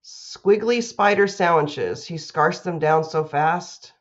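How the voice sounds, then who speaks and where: School principal, female, unhurried delivery for young listeners, dull computer-mic recording. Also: lowish voice. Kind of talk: children's read-aloud and announcements.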